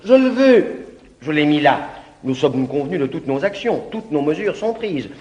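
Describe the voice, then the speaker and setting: A man's voice: fairly high pitch, sing-song, moderate pace, a little mellow, lo-fi sound, slight background noise. A man playing a scheming former valet in a classic stage comedy, insistent and laughing as he coaxes his master. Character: Dubois, scheming former valet, confident and bossy. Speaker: actor in radio play.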